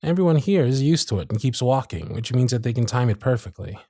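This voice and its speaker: A man playing a charming, gentle circus magician who is smitten and privately anxious, speaking tenderly and softly in an ASMR romance roleplay. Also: medium pitch, fast, no room sound, clean studio sound, lightly acted. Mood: warm, charming. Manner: soft-spoken, flattering, tender, with hushed asides. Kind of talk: ASMR romance roleplay with intimate inner monologue.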